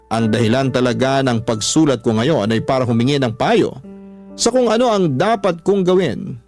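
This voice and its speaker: A man's voice: medium pitch, a little mellow. A man reading listeners' letters as a dramatic first-person story, as a radio host. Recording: music under the voice.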